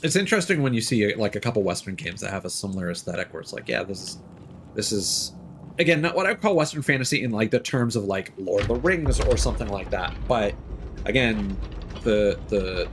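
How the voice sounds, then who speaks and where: A man giving casual live commentary on a video game, musing and thinking aloud in a halting way. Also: lowish voice, lively intonation. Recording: clearly noisy, game sound underneath. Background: video game ambience.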